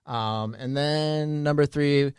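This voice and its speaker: Clear-voiced man chatting casually. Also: fairly high pitch, slow pace, a little mellow, dry recording, studio quality. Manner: rambling, conversational storytelling. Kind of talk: casual chat.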